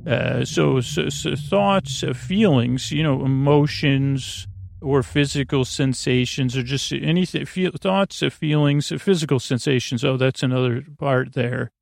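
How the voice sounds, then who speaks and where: Male sleep podcast host, gravelly and lulling, wandering off topic, very dry close sound. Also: mid-range voice, unhurried, dry recording. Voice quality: creaky. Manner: meandering, hesitant, full of tangents and filler words; soothing, lulling delivery. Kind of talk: rambling bedtime sleep podcast monologue.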